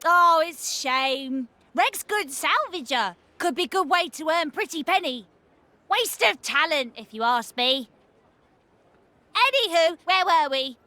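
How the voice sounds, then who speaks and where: A woman's voice: very high voice, expressive, takes it slowly, bright, forceful tone, no room sound, exaggerated voice. A woman voicing a small merchant creature who talks in broken, cutesy pidgin. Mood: chirpy. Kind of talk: video game voice acting.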